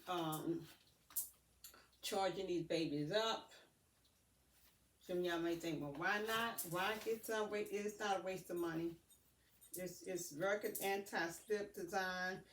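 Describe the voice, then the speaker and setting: Woman vlogging from an echoey room. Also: mid-range voice. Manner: halting, addresses viewers as family. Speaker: vlogger showing purchases. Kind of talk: casual unboxing chat to camera. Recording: roomy echo, distant mic, faint noise.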